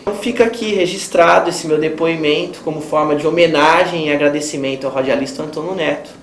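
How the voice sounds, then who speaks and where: A man with a Brazilian accent giving a formal personal testimonial in measured, sometimes hesitant phrases. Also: fairly high pitch, fairly even intonation. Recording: roomy echo, faint ambient noise.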